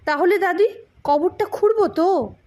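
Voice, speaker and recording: A woman's voice: very high-pitched, unhurried, darkish tone, voice pushed up. A woman voicing a cheeky, impatient boy, the younger grandson in an audio story, eager and teasing. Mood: mischievous.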